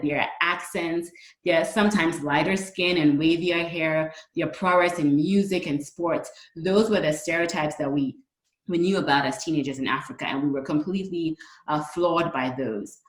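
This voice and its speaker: Female panelist, thirties, low voice, measured explanatory delivery, echoey room. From Ghana. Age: 30s. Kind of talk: considered panel remarks.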